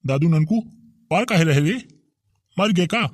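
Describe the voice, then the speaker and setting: A man's voice: a little high, lively intonation, measured pace, no echo, studio quality. A man voicing a timid, fretful village man in a comedy cartoon, with a Bundeli accent.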